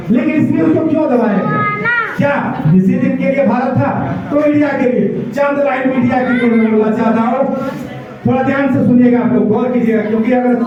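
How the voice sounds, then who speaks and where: A man giving an impassioned public speech, with rhetorical questions and emphatic repetition. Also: extremely high pitch. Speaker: speech-giver at an Independence Day event. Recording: echoey far-off mic, dull sound.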